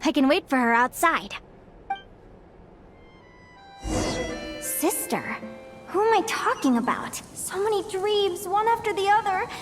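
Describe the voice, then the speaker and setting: High pitched wistful young explorer girl, anime game, emotional and hesitant. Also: lively intonation, over music. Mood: weary, melancholy. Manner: halting, self-questioning, emotive. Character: anguished, wistful young woman explorer, soft-hearted dreamer.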